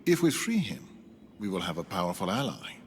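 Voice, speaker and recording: An actor playing a stern, calculating rebel strategist in a video game, speaking in a measured, commanding way. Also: male voice, low-pitched, very animated, slight background noise. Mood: stern, purposeful. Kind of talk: video game cutscene acting. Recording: long reverberant hall, music behind.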